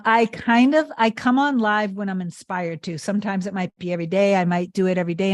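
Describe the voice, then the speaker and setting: A woman coaching on a live stream, answering chat comments in an earnest, emphatic way and often repeating herself. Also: on the low side. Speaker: manifestation coach.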